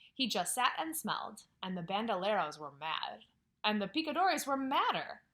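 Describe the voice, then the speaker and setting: A woman who teaches kindergarten, reading a picture book aloud at home and stopping to ask the children questions, sometimes dropping to a whisper. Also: medium pitch. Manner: expressive storytelling with questions to children, drops to whispers. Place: at home, near a couch.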